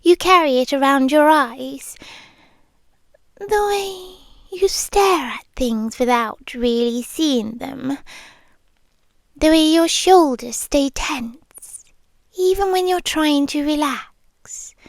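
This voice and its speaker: Casting: woman to play a doting, possessive British female vampire lover for ASMR roleplay, high soft voice, extremely unhurried, often whispering. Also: dry recording. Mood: soothing, pitying. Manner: slow, hushed, lingering, coaxing with soft pauses. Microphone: close microphone, dry.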